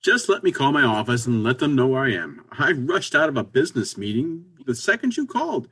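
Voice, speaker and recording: A busy, concerned businessman played by a man in a stage comedy, a little off mic. His husky voice swings very widely in pitch. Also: sing-song, fairly quick, bright, forceful tone, full character voice. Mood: harried. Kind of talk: stage comedy readers theater acting.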